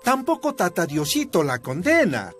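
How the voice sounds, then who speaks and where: An actor playing a gentle, reassuring father in a radio drama, speaking with a Bolivian Spanish accent. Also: sits high, wildly swooping pitch, moderate pace, faint music behind, heavily acted. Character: kindly, gentle father of a grown daughter.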